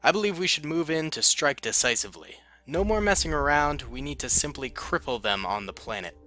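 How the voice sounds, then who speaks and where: A man's voice: a little high, expressive, a little fast, sharp tone. A man voicing a decisive male clone commander who gives clipped military orders. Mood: commanding.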